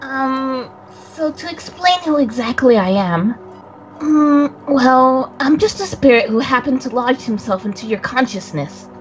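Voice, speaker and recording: A woman's voice: high voice, animated, a little slow, muffled recording. A woman voicing a nervous young boy spirit who stammers and gives himself away. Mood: awkward. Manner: stammering, flustered, self-incriminating. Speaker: guest voice actor on a game stream.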